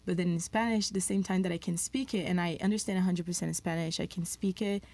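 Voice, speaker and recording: Reflective young woman from Brazil, low voice. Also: fairly even intonation, darkish tone. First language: Portuguese. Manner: reflective, halting, many "like" fillers. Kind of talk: podcast conversation.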